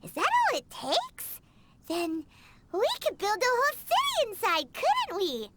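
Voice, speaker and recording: Game sidekick: a wide-eyed childlike fairy girl, piercingly high, very animated, excitable. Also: measured pace, very noisy, full character voice. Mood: excited. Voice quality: squeaky. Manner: wide-eyed, refers to self in third person. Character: tiny childlike excitable fairy companion, female. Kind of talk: video game character dialogue.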